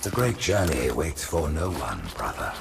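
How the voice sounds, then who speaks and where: A sly old alien high priest, lively rolling speech, game cutscene. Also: male voice, moderate pace, loud music behind the voice, full character voice. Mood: oratorical. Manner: oratorical, smooth, sly. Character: scheming elderly alien high prophet.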